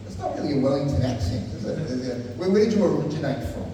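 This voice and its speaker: A man's voice: fairly high pitch, expressive, fast. A man hosting a comedy night. He teases the audience in chatty banter and stumbles over repeated words. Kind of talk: live stand-up MC banter with the crowd. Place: large hall at a live comedy night. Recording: echoey hall from far off, crowd noise. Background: audience in the room.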